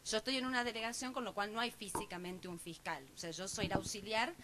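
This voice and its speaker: A woman's voice: medium pitch, fairly even intonation, fast talker, background noise. Chatty woman at a job interview. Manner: talkative, explains at length with many fillers. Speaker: job candidate, assistant prosecutor. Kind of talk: formal oral job interview. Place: interview panel room.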